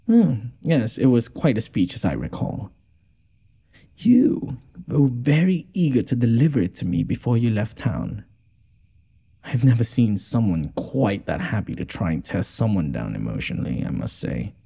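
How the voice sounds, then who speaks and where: Man playing a haughty, expressive fantasy noble lord. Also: mid-range voice, moderate pace, gravelly, breathy edge, full character voice. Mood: bitter sarcasm. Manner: theatrical, sarcastic, exasperated sighing delivery. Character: haughty, wounded young noble lord, sarcastic yet caring. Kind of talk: scripted audio roleplay addressed to the listener.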